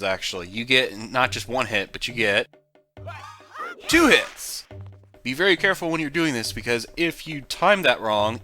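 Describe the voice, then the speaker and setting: A man giving casual, jokey, rambling commentary on a video game he is playing, with sudden loud whoops. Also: mid-range voice. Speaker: let's play commentator. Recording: game music behind voice.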